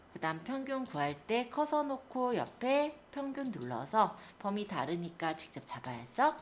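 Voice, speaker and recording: A woman instructor giving a polite, step-by-step spreadsheet software tutorial, guiding listeners through each action. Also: a little high, slight background noise. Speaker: computer skills instructor.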